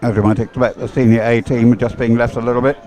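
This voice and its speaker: A man's voice: mid-range voice, little pitch movement, fast talker, mellow. A man calling a live race in short, informal phrases from an outdoor sporting venue. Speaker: race commentator.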